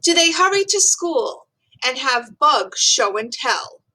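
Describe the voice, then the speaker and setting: A woman with a high, bright voice reads aloud as a teacher would for young children. She takes her time, slow and deliberate, giving each phrase expressive, clearly marked emphasis. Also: low-quality recording. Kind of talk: expressive children's read-aloud of rhyming poem and facts.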